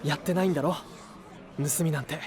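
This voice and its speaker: Man acting an earnest, high-voiced young knight. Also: fairly quick, darkish tone, a little hiss or hum, close to an everyday voice. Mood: earnest conviction. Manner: impassioned, sincere pleading. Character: earnest young male knight, trusting, hot-blooded idealist. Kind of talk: anime audio drama acting.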